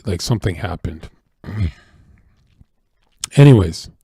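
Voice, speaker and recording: A tired man holds forth in a casual, profane monologue, preaching his views and stumbling over restarts. His voice is mellow and dark at a middle pitch. The sound is dry and close. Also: no room sound. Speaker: streamer.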